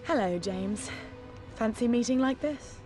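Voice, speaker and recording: An actress playing a poised, calculating female criminal mastermind in a cinematic video game, speaking in a composed, measured way. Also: fairly high pitch, lively intonation, a little mellow, faint music behind. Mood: taunting.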